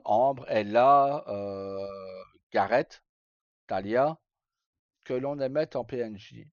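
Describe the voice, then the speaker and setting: A middle-aged man chats on a livestream. The sound is close and dry, slightly dull and lo-fi. His pitch is average for a man, and he talks in a loose, hesitant, informal way. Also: takes it slowly, dry recording. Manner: hesitant, rambling, informal, mildly crude. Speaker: live streamer. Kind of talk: casual livestream chat.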